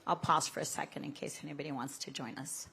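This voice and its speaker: A woman with a distinctly low voice chairs a hearing. She is casual and welcoming as she moderates, and faint room noise sits behind her. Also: fairly even intonation, fast talker, bright, forceful tone. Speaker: committee chair. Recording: clear background room noise.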